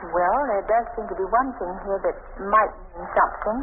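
An actress playing an anxious young heroine in an old radio thriller, earnest and melodramatic. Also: female voice, mid-range voice, brisk, close to an everyday voice. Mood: uneasy. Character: anxious young heroine, a detective's female companion. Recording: scratchy, noisy, narrow-band old radio recording.

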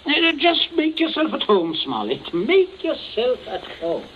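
A man's voice: extremely high pitch, wildly swooping pitch, unhurried, exaggerated voice. Domineering father, wildly swooping voice, vintage radio. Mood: falsely jovial. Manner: clipped commands, sly false joviality. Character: stern, cold, domineering middle-aged father covering up a killing. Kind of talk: 1940s radio thriller acting. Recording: dull, noisy 1940s radio recording.

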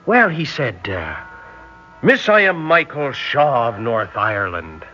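A man's voice: mid-range voice, big pitch swings, slow, pushed and bright, heavily acted. An actor playing a polite, hesitant nineteen-year-old seaman from Northern Ireland in an old radio drama. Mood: fond reminiscing. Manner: polite, hesitant, formal British phrasing. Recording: dull old radio recording, music behind.

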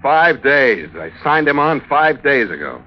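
An actor playing a tough, sardonic young American sea captain in the 1940s radio style, terse and wry. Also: male voice, medium pitch, sing-song, a little slow. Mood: irritated, defiant. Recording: muffled old radio recording, music behind.

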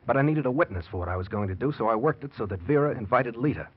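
An actor playing a scheming, nervy American husband in a classic radio crime drama, with an intense theatrical delivery. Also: male voice, medium pitch, expressive, racing, slightly rough. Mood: cold, calculating. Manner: intense, theatrical confessional narration. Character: scheming, nervy murderous husband confessing his plot. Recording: muffled, noisy old radio recording.

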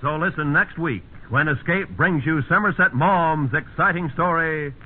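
A man's voice: fairly high pitch, moderate pace. A man announcing for 1950s network radio in a polished, emphatic manner. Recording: tinny 1950s radio recording. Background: faint music.

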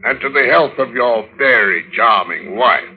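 An actor playing a jovial, blustery ferry captain with a booming manner in an old-time radio drama. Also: male voice, lively intonation, unhurried, slight background noise. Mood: hearty. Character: hearty, heavy-handed ferry boat captain host. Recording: muffled vintage radio recording.

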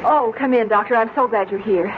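An actress playing an earnest, concerned and gently firm wife or sweetheart in a theatrical 1940s radio drama style. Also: female voice, a little high, fairly quick, close to an everyday voice. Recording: noisy, muffled old-time radio recording.

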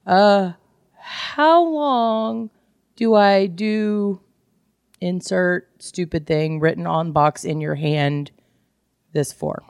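A woman's voice: on the low side, very slow, a little mellow, clean tone, no room sound, clean studio sound. A woman chatting casually as a podcast co-host, candid and joking with salty language. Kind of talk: casual chat about books.

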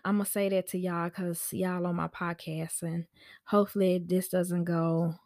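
A woman's voice: fairly low pitch, a little slow, no room sound. Casual woman storyteller, dry close sound. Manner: animated, chatty, acts out quoted conversations; informal slangy speech. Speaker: podcast host and nanny. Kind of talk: casual personal storytelling on a podcast.

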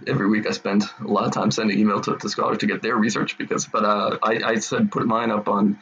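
A man from Massachusetts answering interview questions as a guest scholar, talking in halting sentences with many restarts and fillers. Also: lowish voice, level tone, a bit bright, lo-fi sound, slight background noise. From Massachusetts, USA.

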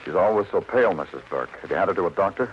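An actor with an American accent playing a wry, hard-boiled police detective in vintage radio noir, clipped, dry and probing. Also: male voice, sits low, lively intonation, rapid-fire, slight background noise. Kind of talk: 1950s radio noir acting and narration. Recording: muffled old radio recording.

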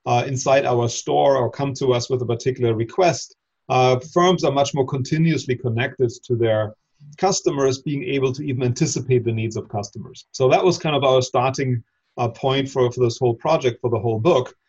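A man explains business research as a guest, measured and thoughtful, leaning on fillers. His voice is a bit husky. The sound is dull and lo-fi, like a remote connection. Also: medium pitch, a little mellow. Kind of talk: explaining in an interview.

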